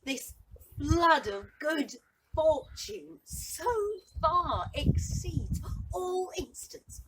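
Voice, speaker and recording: A woman playing a bewildered, lovestruck young man in a Shakespearean monologue, speaking haltingly and with wonder. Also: high-pitched, animated, pitched above the performer's own voice, exaggerated voice. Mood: elated disbelief. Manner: halting, wondering, shifting from hushed to exclaiming. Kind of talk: Shakespearean stage monologue, verse acting. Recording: distant mic with light background noise.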